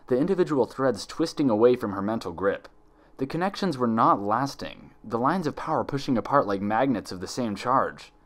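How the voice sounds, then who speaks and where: A man reading a story aloud as narrator in a dramatic scripted style. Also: on the low side. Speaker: fan fiction narrator.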